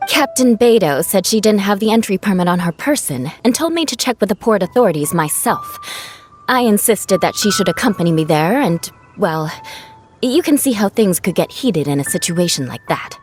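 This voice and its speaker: A woman playing a proud but awkward young knight in a video game, speaking in a formal, precise, measured manner. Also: medium pitch, lively intonation, darkish tone, faint music behind, close to an everyday voice. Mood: matter-of-fact.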